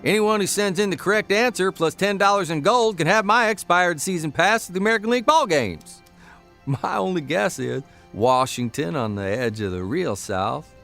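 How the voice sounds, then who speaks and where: Wry, folksy newspaper columnist, lively. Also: male voice, mid-range voice, vocal fry. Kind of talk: audio drama narration and dialogue.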